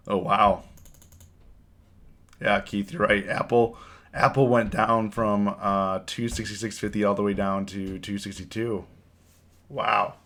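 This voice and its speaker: Hoarse man hosting a casual live stream. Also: fairly low pitch, a bit bright. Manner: rambling, repeats words, reads chat aloud. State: hoarse from a cold. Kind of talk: casual live stream chat about trading.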